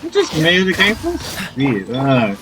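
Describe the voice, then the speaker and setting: A man's voice: on the high side, huge pitch swings, moderate pace, rough recording. A man giving casual, offhand gameplay commentary, muttering asides and reading out dialogue choices as he plays. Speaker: gamer, let's player.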